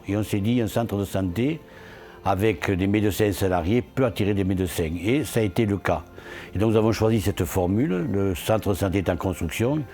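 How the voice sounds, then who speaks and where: A man's voice: on the low side, dark tone. A man speaking earnestly as an elected local official in a promotional interview, posing questions and answering them himself. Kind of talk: promotional interview testimony about local achievements.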